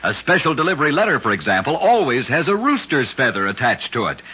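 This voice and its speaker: A man tells strange tales in the style of a vintage radio host, with a very raspy, forceful voice. His delivery is emphatic and dramatic, swinging widely in pitch. The recording is dull and old. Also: very animated, quick pace. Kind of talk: scripted vintage radio storytelling of odd facts.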